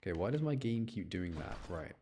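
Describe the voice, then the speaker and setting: A man's voice: sits low, big pitch swings, a little fast, background noise. A man giving casual, chatty live commentary as he streams a video game, talking to his viewers. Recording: music behind the voice.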